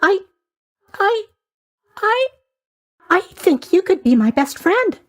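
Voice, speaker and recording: A man's voice: very high voice, very animated, slow, heavily acted. A man voicing a chatty, eager-to-please young god of sleep in a video game, rambling along with bubbly asides. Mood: overwhelmed, touched. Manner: rambling, bubbly, hesitant asides, tag questions. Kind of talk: video game character voice acting.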